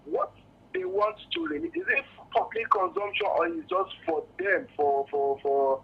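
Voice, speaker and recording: A muffled phone-in caller, a man with a Nigerian accent and a high, gravelly voice. He speaks off the cuff, insistent and halting, circling back over his own words. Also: wildly swooping pitch. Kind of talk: spontaneous opinion on a call-in show. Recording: muffled, lo-fi phone call to radio.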